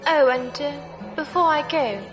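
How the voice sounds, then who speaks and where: Woman acting a high-voiced, hesitant young woman. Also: normal speed, close to an everyday voice. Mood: earnest, hesitant. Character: young woman leaving a space colony, polite, hesitant. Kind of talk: sci-fi audio drama acting. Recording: long hall reverb over music.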